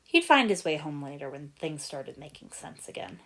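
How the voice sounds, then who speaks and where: A woman narrating a story from a script in an expressive storytelling style. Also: low-pitched, moderate pace. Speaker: fan fiction narrator.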